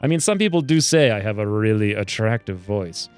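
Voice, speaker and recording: A young man casually commenting while he plays a game, excitable and rambling, with self-mocking asides, speaking close to a desk mic. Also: fairly low pitch, brisk, clean tone, faint music behind. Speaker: gaming video host. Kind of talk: casual let's play commentary.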